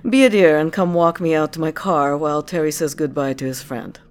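A woman's voice: deep voice, a little fast, a little mellow, smooth, lightly acted. A woman playing a commanding, curious corporate director in an audio drama, with a teasing, probing and self-assured manner. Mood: sly, pleased. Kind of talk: scripted audio drama acting.

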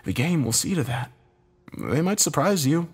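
A man's voice: on the high side, very animated, moderate pace, slightly rough, pitched above the performer's own voice, exaggerated voice. A man playing a distraught, confrontational husband and father in a dramatic story, insistent and questioning. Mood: arguing.

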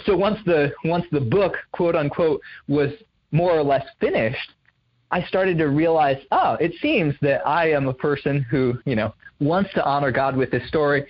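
Hesitant young man, soft muffled sound. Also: a little high, normal speed. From Indonesia. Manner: thoughtful, hesitant with many fillers and restarts. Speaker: guest author. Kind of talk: reflective interview conversation.

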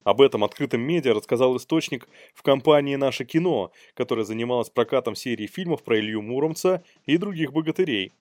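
A man's voice: mid-range voice, darkish tone, dry recording. Man chatting, dry close sound. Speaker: podcast co-host. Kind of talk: casual comic news chat.

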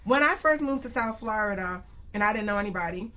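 A woman's voice: medium pitch, lively intonation, fast, sharp tone. A woman hosting a radio talk show in an informal, conversational chatting style. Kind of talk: casual talk show chat.

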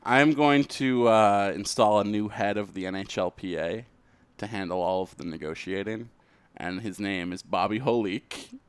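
A man's voice: fairly low pitch, moderate pace, clean tone, dry recording. A man co-hosting a podcast, chatting casually and making jokes. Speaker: podcast co-host. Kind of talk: casual joking chat.

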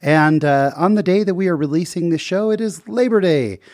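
A man's voice: sits high, brisk, darkish tone, dry recording. A man hosting a podcast interview in a small studio, upbeat and enthusiastic. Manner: upbeat, enthusiastic, with frequent uh fillers. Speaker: podcast host.

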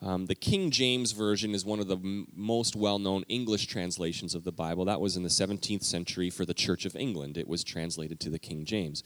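A man preaching a conversational teaching sermon in a church, talking directly to the congregation with lively emphasis. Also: low-pitched, fairly quick, hardly any pauses, no echo. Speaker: pastor. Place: church sanctuary.

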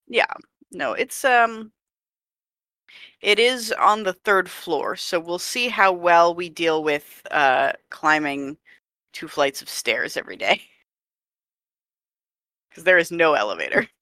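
Chatty podcast co-host w bright punchy voice, dead dry mic, laughs a lot. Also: mid-range voice, slow, pauses often, dry recording. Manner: chatty, laughs often, self-deprecating. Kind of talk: casual chat.

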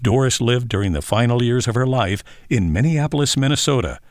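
A man's voice: medium pitch, a little fast, a little mellow, no echo, studio quality. A man reading a scripted biographical narration as a narrator.

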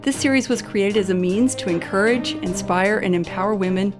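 A woman's voice: medium pitch, brisk. A woman hosting a podcast as a law school dean, reading an introduction and then chatting with her guest. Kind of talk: podcast interview. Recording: gravelly voice, long reverb, faint music.

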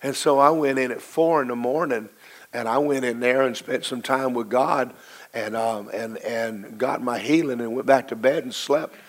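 A middle-aged man with a low, hoarse voice, preaching a sermon to his congregation with emphatic, slow, drawn-out stresses. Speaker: pastor.

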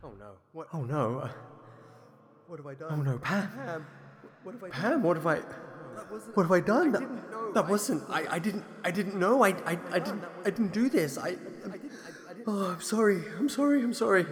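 A man's voice: high-pitched, lively intonation, brisk, long pauses. An actor playing an anguished middle-aged husband and father in an audio horror drama, stammering frantically and repeating himself. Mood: distraught, horrified. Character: anguished husband and father turned werewolf pack member. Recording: cavernous reverb with noisy crowd-like backdrop.

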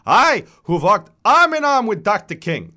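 An ancient, complaining New Yorker in a dramatized short story. The voice is high, reedy and chirpy, with a Yiddish-inflected accent. It jumps around in pitch with vigorous, snappy diction. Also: male voice, big pitch swings, exaggerated voice. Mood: indignant, needling. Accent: Yiddish-inflected New York. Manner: quick, vigorous, sharp diction.

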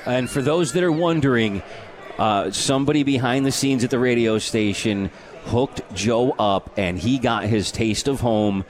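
A man's voice: medium pitch, unhurried. A man hosting live sports talk radio with an announcer-like delivery. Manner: announcer-like, reads sponsor credits. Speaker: sports radio show host. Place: tavern and grill, live remote broadcast.

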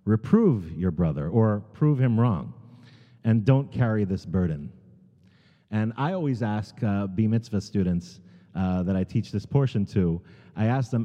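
A middle-aged American man giving a sermon as a guest speaker, halting and earnest, with many ums and restarts. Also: fairly low pitch, dark tone. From United States. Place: large synagogue sanctuary. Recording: long hall reverb, dull sound.

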